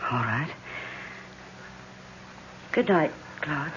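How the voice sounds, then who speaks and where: An actress playing an earnest, worried and devoted fiancée in a radio drama. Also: female voice, low voice, lively intonation, very slow, full character voice. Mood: worried, tender. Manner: earnest, solicitous. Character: anxious, devoted fiancée of a troubled man. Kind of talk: 1970s radio drama acting. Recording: dull old radio broadcast, faint noise.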